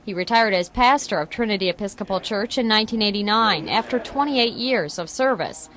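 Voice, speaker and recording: A woman's voice: mid-range voice, a little fast, fairly bright tone, slight background noise. A woman reporter reading a scripted feature story for television news.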